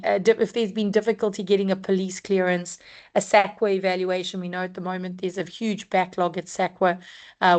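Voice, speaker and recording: A woman from South Africa with a croaky voice, presenting a work-compliance webinar in a measured, explanatory way with frequent ums. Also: medium pitch, darkish tone. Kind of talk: scripted-free webinar talk.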